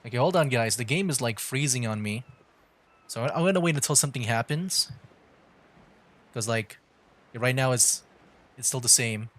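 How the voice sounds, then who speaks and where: A man's voice: mid-range voice, animated, normal speed, long pauses. A man giving casual, rambling commentary on a game livestream, often repeating himself as he chats. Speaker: livestreaming VTuber gamer.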